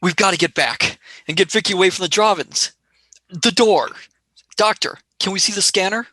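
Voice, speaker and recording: Brief: man as an earnest young companion, bright dry voice, radio play. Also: high-pitched, normal speed, no echo. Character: brave young man, space traveller's companion. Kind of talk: amateur radio play acting.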